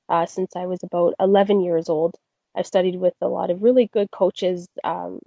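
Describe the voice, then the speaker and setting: A young woman from Canada speaks with a mellow, low-set voice, friendly and full of little fillers. The sound is dry and a bit dull, like a muffled studio recording. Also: dry recording. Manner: friendly, many fillers and restarts. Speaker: guest singer. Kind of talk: casual interview answers.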